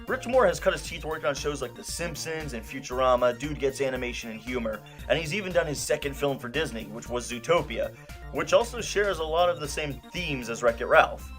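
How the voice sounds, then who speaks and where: A man's voice: medium pitch, expressive, brisk, a bit bright, faint music behind. A man hosting and narrating a scripted film review in a wry, conversational, emphatic way. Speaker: film reviewer, show host.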